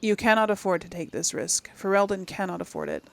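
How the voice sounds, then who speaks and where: A woman with a noticeably creaky voice at a middling pitch talks through a video game for her audience. She is chatty and casual, thinking aloud as she goes and reading aloud for those watching. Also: steady tone, brisk. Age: 30s or older. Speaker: game streamer, role-play series host.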